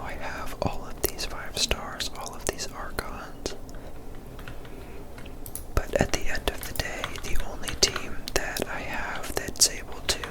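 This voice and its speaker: A woman whispering casual, rambling ASMR commentary over gameplay in a soft, unvoiced voice. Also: rough recording. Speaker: gameplay video creator.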